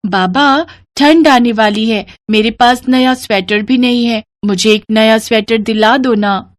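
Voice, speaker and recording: A woman's voice: fairly high pitch, unhurried, dry recording, clean studio sound, close to an everyday voice. A woman playing a sweet little village girl who pleads, in an audio story. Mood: pleading.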